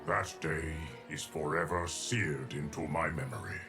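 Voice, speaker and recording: An actor playing an ancient, stoic immortal timekeeper in a video game, speaking in a measured, formal, grave manner. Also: male voice, very deep voice. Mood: solemn. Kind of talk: video game character dialogue. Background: game music.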